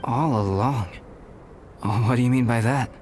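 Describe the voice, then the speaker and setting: Man playing a calm, inquisitive young man. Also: mid-range voice, moderate pace, background noise, natural voice. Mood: calmly curious. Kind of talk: game voice acting.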